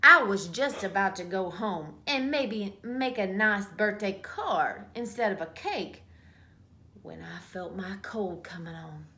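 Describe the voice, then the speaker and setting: A woman's voice: medium pitch, slow pace, bright, forceful tone. A woman voicing a self-justifying, folksy male wolf in a children's storybook read-aloud, with dramatic mock-innocent delivery. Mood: aggrieved. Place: small indoor room at home.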